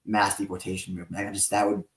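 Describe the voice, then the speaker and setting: A man in his late twenties hosts a podcast interview with a fairly low voice. He chats in a relaxed, rambling way, full of asides. His voice carries a noticeable roomy echo. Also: quick pace, a crowd in the background. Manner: relaxed, chatty, rambling with fillers and praise. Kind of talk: casual interview chat.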